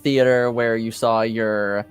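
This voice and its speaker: A man narrating a tabletop game as game master, improvising in a halting way. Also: mid-range voice, little pitch movement, smooth, faint music behind.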